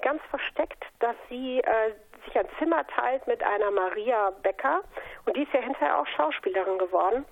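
A woman author answering interview questions in a reflective, explanatory way. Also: on the high side. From North Sea island, Germany. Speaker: interview guest, author and singer. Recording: narrow telephone-like radio sound.

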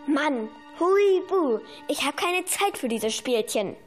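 A woman's voice: high-pitched, animated, slow, soft background music. A young schoolgirl playing a bossy, impatient female villain in an amateur radio play. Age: child. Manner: demanding, exasperated.

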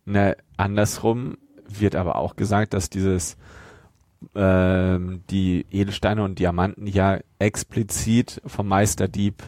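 A man's voice: deep voice, flat delivery, takes it slowly, darkish tone, no room sound, studio quality. A man co-hosting a podcast, chatting casually and in a joking manner. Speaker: podcast co-host. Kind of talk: casual chat.

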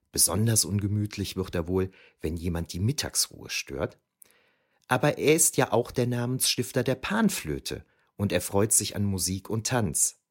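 Man playing a deep-voiced, reflective historian narrator. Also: breathy edge, exaggerated voice. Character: reflective male ancient historian recalling his youth. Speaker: narrator of an audio drama. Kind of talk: scripted first-person narration.

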